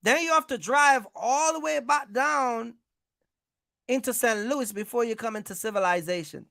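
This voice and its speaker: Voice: mid-range voice, normal speed, gravelly, bright, forceful tone, dry recording, studio quality. An American livestream host giving informal business advice, speaking emphatically, repeating points and checking in with listeners.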